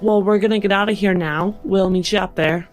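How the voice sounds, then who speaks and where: A woman takes part in a tabletop role-play as one of the players. She talks casually in character, with soft music in the background. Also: mid-range voice, fairly even intonation, fairly quick.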